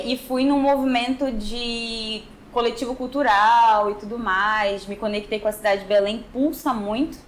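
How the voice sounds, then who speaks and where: A young woman from Manaus with a northern Brazilian accent, talking informally and reflectively as a podcast guest about her own experience. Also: mid-range voice. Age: young adult. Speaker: podcast guest, musical artist. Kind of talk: conversational personal storytelling in an interview. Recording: clear background noise.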